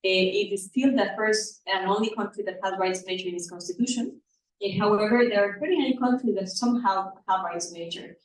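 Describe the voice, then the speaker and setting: A woman's voice: medium pitch, a little fast. Ecuadorian-accented woman speaking in a very echoey room. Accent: Ecuadorian Spanish. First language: Spanish. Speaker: activist, panel speaker at a conference. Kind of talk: public presentation to a live audience. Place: indoor event room. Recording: echoey room, distant mic, background noise.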